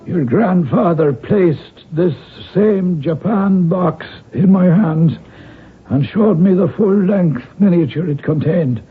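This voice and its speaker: An actor playing a superstitious, fearful Irish man in a radio drama, with halting, dramatic delivery. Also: sits high. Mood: grave, worried. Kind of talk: 1970s radio drama acting. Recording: tinny noisy 1970s radio broadcast.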